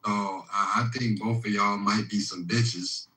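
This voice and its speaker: A man's voice: lowish voice, fairly even intonation, measured pace. A man in a heated online voice chat, laid-back and teasing, talking in heavy slang. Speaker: voice chat participant. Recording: echoey, far from mic, loud noise.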